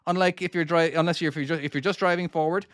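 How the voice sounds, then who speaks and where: A man's voice: a little high, fairly even intonation, fast talker, a bit bright, no echo, clean studio sound. Irish man giving casual gameplay commentary. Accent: Irish (Cork). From Ireland (Cork). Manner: casual, talks himself through each maneuver and answers chat questions. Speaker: game developer streaming his own game.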